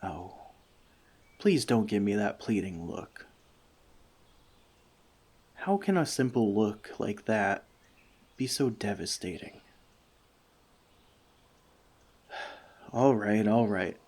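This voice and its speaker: A man playing a friendly, thoughtful forest elf in a soft-spoken ASMR roleplay, speaking to the listener in measured, slightly formal words. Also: fairly low pitch, lively intonation. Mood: reluctant, giving in. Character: friendly male forest elf, gentle, thoughtful, long-lived.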